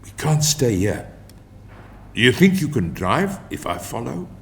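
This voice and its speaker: A man's voice: mid-range voice, very animated, measured pace, creaky, voice pushed down. Man playing a firm, protective male rescuer. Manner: firm, in control. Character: protective, commanding South African man, armed rescuer. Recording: echoey hall, long reverb.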